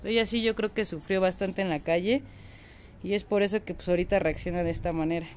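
A woman's voice: lowish voice, fairly even intonation, quick pace, a little mellow, a little hiss or hum. A woman, chatting to viewers from an outdoor patio on a phone camera. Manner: chatty and affectionate, talks to viewers. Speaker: animal rescue vlogger. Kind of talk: casual narration on camera.

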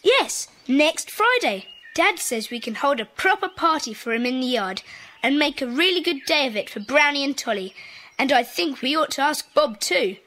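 High-voiced schoolboy. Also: female voice, animated, moderate pace, bright, forceful tone. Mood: keen, informative.

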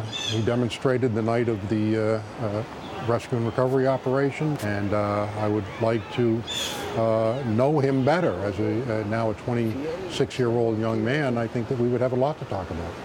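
A man in his sixties, a retired federal agent, recalling events in a formal, measured interview manner. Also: medium pitch, background noise. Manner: formal, measured, official phrasing. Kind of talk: interview recollection. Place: small private office, indoors.

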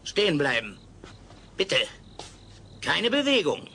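An actor playing an eccentric older householder with nervy, dry wit in a German radio play. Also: male voice, fairly high pitch, huge pitch swings, slow, gravelly, sharp tone, a little hiss or hum, exaggerated voice. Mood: wry, impatient.

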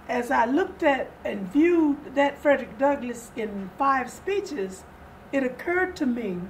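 An older woman in her seventies giving interview answers in a measured, formal, deliberate manner. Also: on the high side. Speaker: guest, readers theater director. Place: furnished sitting-room set indoors. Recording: distant mic, audible room noise.

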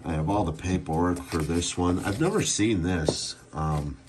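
Deep-voiced man giving a casual demo. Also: normal speed, a little hiss or hum. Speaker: radio seller and restorer demonstrating a CB radio. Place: small indoor room at a workbench.

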